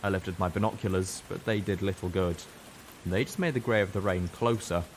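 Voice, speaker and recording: A man's voice: sits low, fairly quick, a little hiss or hum. A man reading a scripted first-person story as a dramatic narrator. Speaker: audiobook reader.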